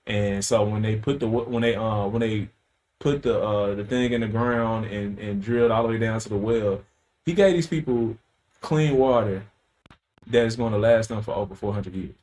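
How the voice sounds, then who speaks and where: A man's voice: on the low side, moderate pace, darkish tone, low-quality recording. A man hosting a casual podcast, telling a story with loose slang, profanity and plenty of fillers. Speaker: podcast host.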